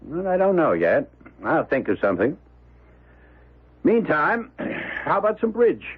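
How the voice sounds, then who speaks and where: Old miser patriarch: bullying, gravelly, wildly swooping delivery, dull vintage radio sound. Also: male voice, fairly high pitch, huge pitch swings, a little slow, full character voice. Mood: bored, irritable. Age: older. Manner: blustering, barking orders. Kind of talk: radio drama acting.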